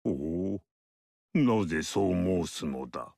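A man voicing an aloof male sage mystic in a video game, speaking in a measured, lofty, archaic way. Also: deep voice, expressive, vocal fry, a little mellow, no room sound, clean studio sound, exaggerated voice. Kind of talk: game character voice lines.

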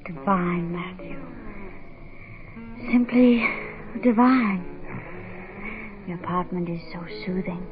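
A woman's voice: on the low side, slow pace, long pauses. A woman playing a detective's sultry, teasing date in a 1960s radio drama, coy and theatrical. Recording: phone-like old radio, music behind.

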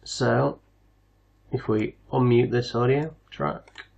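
A man hosting a casual software tutorial, explaining things step by step. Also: mid-range voice, fairly even intonation, slow pace, creaky, dull audio. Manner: step-by-step instructional. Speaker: tutorial host.

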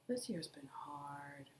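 A young woman with a raspy voice, co-hosting a podcast in casual, wry chat. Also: low-pitched, fairly bright tone, a little hiss or hum. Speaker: podcast co-host, teacher. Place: living room couch, indoors. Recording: echoey room.

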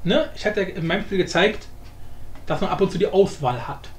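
A man giving a casual, informal software tutorial, explaining step by step. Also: high voice, fairly quick. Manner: informal, explanatory, often adds tag questions. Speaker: tutorial host. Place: indoor room, voice-over of screen recording. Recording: roomy echo with faint background noise.

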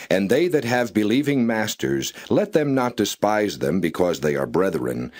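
A man with a fairly low voice reads scripture aloud as a narrator. His phrasing is formal and solemn, yet the pitch moves widely within each measured line. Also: darkish tone. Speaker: Bible narrator. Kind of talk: non-dramatized scripture reading.

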